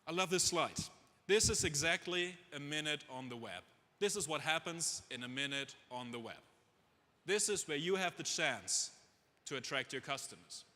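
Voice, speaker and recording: A man's voice: on the high side, animated, normal speed, sharp tone. A middle-aged man with a German accent giving an informal, humorous conference talk, self-deprecating and chatty with asides to his audience. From Germany, raised in Dubai. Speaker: chief executive speaking on stage. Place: on stage in a large conference hall. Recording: echoey conference hall, long reverb.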